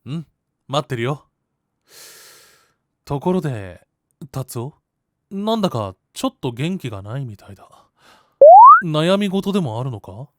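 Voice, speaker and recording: A man playing a kind, perceptive high school boy who gently teases and reassures, in an anime-style voice drama. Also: sing-song, measured pace, pauses often. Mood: friendly, eager.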